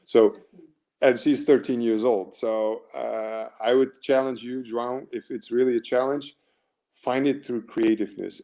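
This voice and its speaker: Man, American accent, forties, gravelly, mellow, coaching webinar, rambling with fillers. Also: mid-range voice. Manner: earnest, rambling, with many fillers and restarts. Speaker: mental performance coach. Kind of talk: motivational webinar talk.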